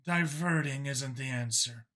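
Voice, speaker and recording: A man voicing a concerned, practical woman officer with a measured, direct manner in an audiobook story. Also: mid-range voice, sharp tone.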